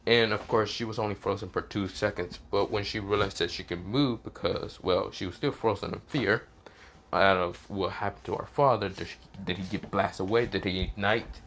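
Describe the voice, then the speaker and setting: A man who is sick and coughing, telling a fan fiction story in a rambling, halting way, often restarting with "this is where, well". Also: on the low side, steady tone, fairly bright tone, slight background noise.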